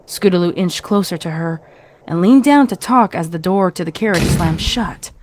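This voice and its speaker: A woman with a fairly low voice narrates a story. Her reading is measured and dramatic, clearly scripted. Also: darkish tone.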